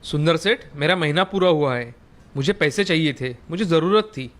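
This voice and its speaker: A man's voice: a little high, lively intonation, moderate pace, fairly bright tone. A man voicing a kind, earnest young butcher and devoted son in a story, speaking politely.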